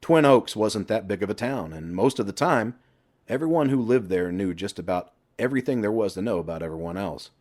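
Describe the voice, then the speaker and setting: A man's voice: fairly low pitch, expressive, a little fast, a little mellow. A man reading a scripted story aloud as an audiobook narrator.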